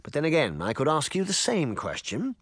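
Male narrator doing a pompous bully mayor: high, swooping, condescending, in a dry close recording. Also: wildly swooping pitch, brisk, fairly bright tone, dry recording, exaggerated voice. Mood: sneering.

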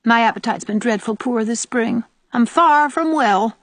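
A woman voicing a sour, sarcastic old country aunt with a sharp tongue, in an audiobook. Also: a little high, very animated, moderate pace, no echo, voice pushed up. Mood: sour, self-pitying. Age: older. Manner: disagreeable, sarcastic, nagging. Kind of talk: audiobook character voice.

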